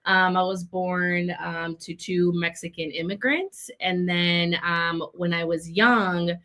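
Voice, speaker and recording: A woman's voice: on the low side, fairly even intonation, takes it slowly, bright, forceful tone. A young woman from Chicago, raised in Minnesota, speaking as a guest on a video call interview. She talks in an earnest, upbeat way with frequent fillers. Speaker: guest, community leadership program leader. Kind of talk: casual interview conversation.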